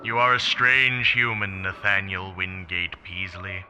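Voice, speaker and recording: A grave, puzzled alien being, played by a man, with stiff, literal phrasing and a very sharp, forceful, lowish voice. Radio play acting over clearly audible background noise. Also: little pitch movement, unhurried, heavily acted. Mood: curious, formal. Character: formal, grave extraterrestrial being, puzzled by humans.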